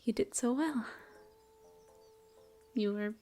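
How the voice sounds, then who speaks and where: A woman voicing a gentle elf ranger. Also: fairly high pitch, takes it slowly, faint music behind. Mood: soothing. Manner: soft, soothing, often whispered. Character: gentle female elf ranger, caring and shy. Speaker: voice actress. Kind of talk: audio roleplay, comfort ASMR.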